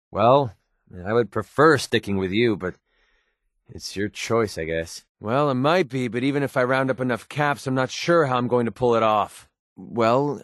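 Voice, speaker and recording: A man's voice: mid-range voice, dry recording, close to an everyday voice. A male actor voices a young gun-for-hire from a video game, guarded and cynical. He talks in a mid-range male voice with a sardonic, offhand edge, recorded very dry and close. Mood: wry, casual. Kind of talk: game character dialogue, naturalistic acting.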